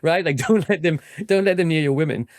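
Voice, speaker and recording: A man speaking as an expert interview guest, explaining things conversationally and informally, often checking in with a quick "right?". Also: on the high side, dry recording, clean studio sound. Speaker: interview guest, historian and author.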